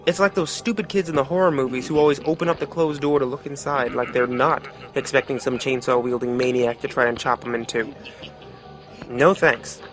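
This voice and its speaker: Music plays under a man acting a wry male storyteller with a mid-pitched voice. He narrates a comic tale in a folksy, streetwise manner, reflecting as he goes. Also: steady tone, fairly quick, a bit bright, lightly acted. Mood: wry, musing. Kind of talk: colloquial scripted narration of motion comic.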